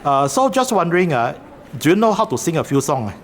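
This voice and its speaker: A man's voice: fairly high pitch, sing-song, brisk, a little hiss or hum. A man with a Singaporean English accent chatting hesitantly in a train station corridor, with many fillers and restarts. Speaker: vlogger filming a video shoot. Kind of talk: casual chat while filming.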